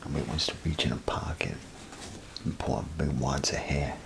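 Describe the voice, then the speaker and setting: An extremely low male voice, thick with vocal fry, often slips toward a whisper. He mutters in an unhurried, casual ASMR role-play style as an attendant chatting to a customer. His phrases come softly, with pauses between them. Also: steady tone. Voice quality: very deep, gravelly, breathy half-whisper. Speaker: ASMR performer playing a bathroom attendant. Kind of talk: ASMR role play, soft-spoken rambling to the listener.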